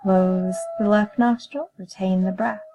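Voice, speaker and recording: A woman leading a guided meditation in a calm, soothing, measured manner with gentle pauses. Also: mid-range voice, muffled recording, soft background music.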